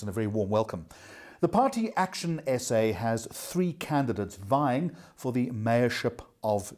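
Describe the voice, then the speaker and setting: A South African man hosting and moderating a political debate, brisk and welcoming. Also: medium pitch. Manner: brisk, warm, animated intonation. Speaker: debate host.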